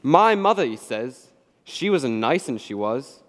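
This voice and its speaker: Young bully, sneering, taunting, radio drama, long reverb. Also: male voice, sing-song, a little slow. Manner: sneering, taunting, shrill when frightened. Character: bullying young male undertaker's apprentice.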